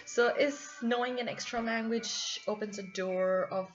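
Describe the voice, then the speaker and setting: A woman working as a student interviewer, putting semi-formal questions in halting, hesitant phrases. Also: a little high, steady tone. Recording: dull lo-fi audio, faint music.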